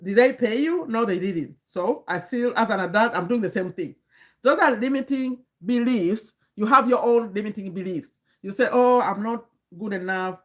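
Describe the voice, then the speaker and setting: A woman's voice: mid-range voice, moderate pace. A woman in her fifties gives an earnest, lecturing livestream talk to her chat, restarting sentences and breaking off to greet viewers. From Uganda. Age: 50s. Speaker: livestream host and community coach.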